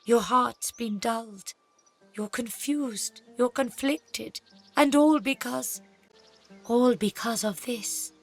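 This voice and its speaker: A woman playing a blind elf seer in a fantasy game, speaking gravely and haltingly in archaic phrasing. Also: a little high, soft background music. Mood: accusing. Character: solemn blind female elf seer, accusing and reverent. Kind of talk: fantasy video game acting.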